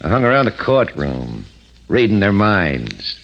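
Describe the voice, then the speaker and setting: An actor playing a smug, ambitious blackmailer in a 1950s radio drama, sly, insistent and coolly confident. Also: male voice, sits low, huge pitch swings, measured pace, fairly bright tone, heavily acted. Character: smug, ambitious male blackmailer with secret mind-reading power. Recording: dull, muffled old radio recording.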